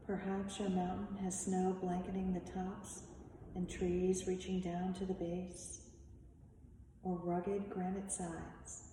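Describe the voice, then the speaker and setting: A woman leading a guided meditation as a teacher, speaking in measured, instructive short phrases with long pauses. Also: on the low side, monotone, warm tone. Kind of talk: slow guided meditation narration. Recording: echoey reverberant room, distant mic, faint noise.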